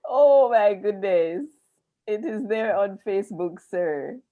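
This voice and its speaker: A woman hosting a live video-call interview, laughing freely and talking at an unhurried pace. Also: medium pitch, animated, phone-quality sound. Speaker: interviewer and host.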